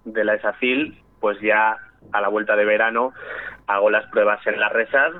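A man's voice: lowish voice, fairly even intonation, moderate pace, no room sound. A young man from Spain, an actor chatting casually as a radio guest over the phone, friendly and full of fillers. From Spain, raised in Valladolid. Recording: tinny phone line.

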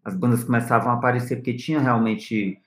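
A man's voice: medium pitch, fairly even intonation, fast, echoey room. A man with a Northeastern Brazilian accent, a musician answering interview questions in a hesitant, searching way. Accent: Northeastern Brazilian Portuguese. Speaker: musician guest. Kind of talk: interview conversation.

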